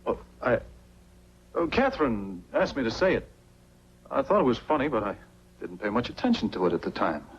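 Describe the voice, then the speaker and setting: An actor playing a suave, calculating blackmailer in a 1940s film noir, with an insinuating manner. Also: male voice, medium pitch, sing-song, normal speed, long pauses. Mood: feigned reluctance. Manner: smooth, insinuating. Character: smooth scheming male blackmailer, suave and calculating. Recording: dull, faintly noisy 1940s film soundtrack.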